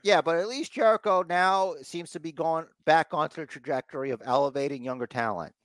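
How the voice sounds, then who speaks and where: A man's voice: on the high side, fairly quick, a bit bright, no echo, studio quality. A man hosting a podcast, giving a casual, rambling recap with mock exasperation and repeated phrases for emphasis. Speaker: podcast host recapping wrestling.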